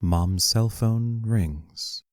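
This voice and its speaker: Deep, slow-paced man reading stage directions. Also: very noisy. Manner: measured, matter-of-fact. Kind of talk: scripted narration of audio play.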